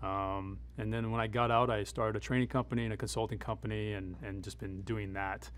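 A middle-aged man speaking as a military advisor in an interview, explaining things in a conversational, measured, matter-of-fact way. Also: on the low side, steady tone. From United States, born in Afghanistan. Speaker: military advisor and former Green Beret.

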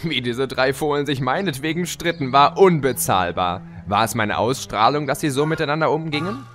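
A man's voice: medium pitch, animated, moderate pace, fairly bright tone, lightly acted. A man playing a gleeful, scheming chaos creature who narrates his escape in an audio drama, smug and playful. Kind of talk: theatrical first-person audio drama narration. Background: music.

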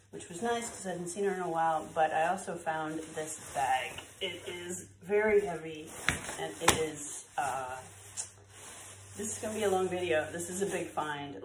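A woman's voice: low voice, background noise. A middle-aged or older woman chatting casually to camera with lots of fillers, slightly congested from a cold, recorded indoors by a camera a few feet away. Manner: relaxed, chatty, with frequent fillers. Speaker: vlogger sorting found clothes. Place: bright room with bare walls, indoors. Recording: roomy, slightly distant camera audio.

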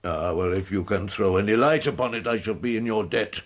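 An actor playing an elderly, courtly invalid professor in a radio drama, British, wheezy and halting, with ornate phrasing. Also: male voice, fairly low pitch, close to an everyday voice. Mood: mournful. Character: elderly invalid scholar, courtly, self-pitying, secretly scornful.

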